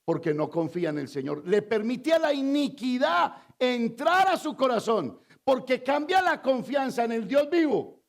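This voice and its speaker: A man from Colombia with a Colombian Spanish accent, preaching a sermon as pastor, quizzing the congregation and swinging between loud emphatic lines and low confiding asides. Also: expressive, normal speed. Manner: call-and-response preaching, asks the congregation to fill in words.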